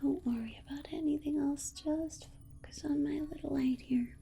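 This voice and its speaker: A woman playing a gentle alien scientist in an ASMR roleplay, soothing and coaxing in a repetitive, babying manner. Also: high voice, steady tone, normal speed, gravelly. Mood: soothing, encouraging. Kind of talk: whispered ASMR medical roleplay.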